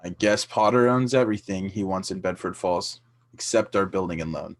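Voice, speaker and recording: A man's voice: low-pitched, fairly even intonation, normal speed. A man playing a tired, kindly, principled middle-aged father in a radio play. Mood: weary, gentle. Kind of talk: 1940s-style radio play acting.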